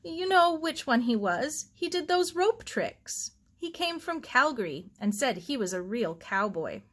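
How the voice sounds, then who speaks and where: Woman voicing an earnest, high-pitched young girl. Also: sing-song, unhurried, fairly bright tone, full character voice. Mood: eager. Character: curious, earnest young girl living with relatives.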